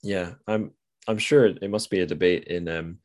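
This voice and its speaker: A man with a notably deep voice speaks as a podcast host. He talks casually about books, rambling and musing, often looping back as he works out his thought. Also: steady tone, moderate pace, a little mellow. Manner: rambling and musing, thinks aloud in circling sentences. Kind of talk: casual literary discussion.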